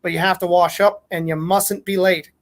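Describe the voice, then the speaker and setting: A man voicing an excited, chirpy little boy from an anime fanfic. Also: high voice, normal speed, close to an everyday voice.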